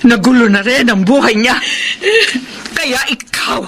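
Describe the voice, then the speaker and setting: A woman's voice: a little high, unhurried, crowd noise. An actress playing a stern, bitter, grieving older mother in a radio drama, speaking in an accusing, dramatic way. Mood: anguished, accusing.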